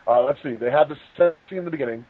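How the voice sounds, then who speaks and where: A man's voice: medium pitch, racing, phone-quality sound. A man giving casual, mocking commentary on a film. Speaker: co-host of a movie riffing show.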